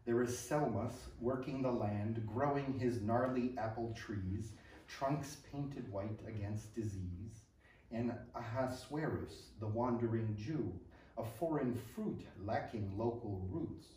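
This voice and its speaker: A man's voice: mid-range voice, takes it slowly, mellow, slight background noise. A middle-aged American man from upstate New York, speaking hesitantly with many fillers, then reading his poetry aloud at a library event. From upstate New York, USA. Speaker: poet and translator. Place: library hall, indoors. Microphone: room mic from a distance. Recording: echoey room, distant mic.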